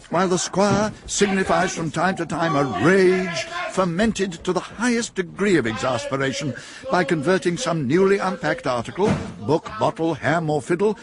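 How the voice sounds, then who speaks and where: A man's voice: a little high, background noise. A man narrating a radio drama in a dry, wry storytelling manner.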